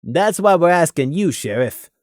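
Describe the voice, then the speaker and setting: A woman's voice: on the low side, lively intonation, moderate pace, a little mellow, dry recording, clean studio sound, voice pushed up, close to an everyday voice. A woman voicing a burdened male pony sergeant with a brusque, commanding, clipped way of talking in an audio story.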